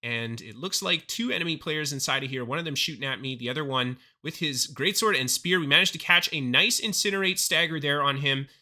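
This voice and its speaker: Hoarse, bright-toned gaming commentator man. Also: on the high side, fairly quick, hardly any pauses. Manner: upbeat, excited over big plays. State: hoarse from illness. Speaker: gaming YouTuber. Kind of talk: gameplay commentary voice-over.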